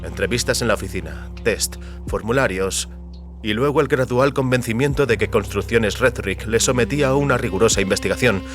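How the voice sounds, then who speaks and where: A man's voice: mid-range voice, fairly quick, soft background music. Man narrating dramatic audio fiction.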